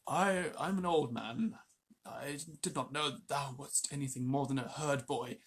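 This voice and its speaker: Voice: mid-range voice, a little slow, slightly rough. A storyteller voicing a frightened old village hunter who mutters through his teeth, then pleads. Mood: fearful.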